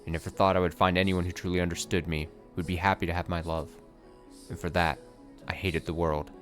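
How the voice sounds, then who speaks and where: A man's voice: deep voice, level tone, fairly quick, soft background music, close to an everyday voice. A man voicing a tormented young knight, speaking in a solemn, tender way that sometimes turns shaky. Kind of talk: dramatic reading of a story.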